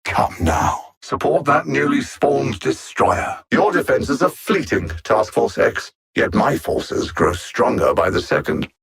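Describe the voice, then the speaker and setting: Casting: a hyper-intelligent alien supervillain in a game; husky, animated intonation, articulate contempt and theatrical menace. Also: male voice, mid-range voice, measured pace, few pauses, exaggerated voice. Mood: commanding. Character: cold, arrogant male alien supervillain conqueror, hyper-intelligent and condescending. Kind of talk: video game villain voice lines.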